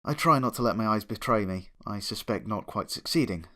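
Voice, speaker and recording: A man's voice: fairly low pitch, expressive, brisk, fairly bright tone. A man reading a story aloud as a dramatic, scripted first-person narration. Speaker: fan fiction reader, narrator.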